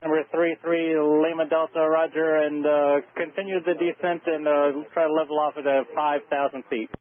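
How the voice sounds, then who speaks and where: A man working as an air traffic controller, giving procedural instructions over aviation radio in a steady way with halting pauses. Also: on the high side, narrow, tinny audio.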